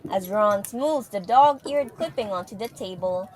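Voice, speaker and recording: A woman's voice: medium pitch, lively intonation, measured pace, a little mellow. A woman reading scripted, dramatic third-person narration for an audio play.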